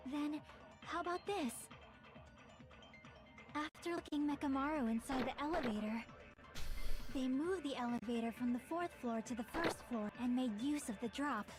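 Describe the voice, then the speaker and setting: A woman voicing an earnest young female student in an anime video game, explaining her reasoning deliberately. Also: high-pitched, over music, close to an everyday voice.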